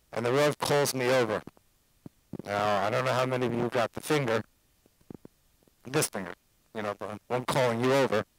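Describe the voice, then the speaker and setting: A man's voice: mid-range voice, low-quality recording. A man, a rabbi, introducing a speaker with a personal story, using Yeshiva Hebrew terms. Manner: warm anecdotal, Yeshiva Hebrew phrases.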